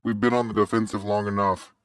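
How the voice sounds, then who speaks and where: A man's voice: fairly low pitch, level tone, quick pace, a bit bright, smooth, no echo, clean studio sound, lightly acted. A man playing a grating rebel chief. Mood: determined. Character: harsh grim male rebel leader.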